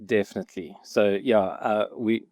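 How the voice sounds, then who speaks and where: A man's voice: fairly low pitch, fairly even intonation, moderate pace, a little mellow, dry recording. Upbeat man, dry close mic. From Zimbabwe. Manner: laughs often, upbeat and enthusiastic. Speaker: guest, film writer-director. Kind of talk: casual interview chat.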